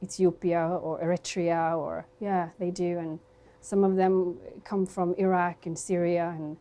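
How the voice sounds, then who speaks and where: A woman in her twenties, raised in Sweden, who works as a dentist. She speaks softly and reflectively in a documentary interview, with frequent fillers, at her dental practice. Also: on the low side, level tone, measured pace. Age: 20s.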